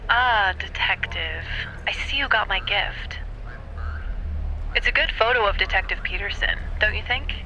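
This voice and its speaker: Taunting female villain, cool, deliberate, muffled noisy phone call, audio drama. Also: mid-range voice, lively intonation, sharp tone. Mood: taunting threat. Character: menacing, taunting female con artist villain. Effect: telephone filter.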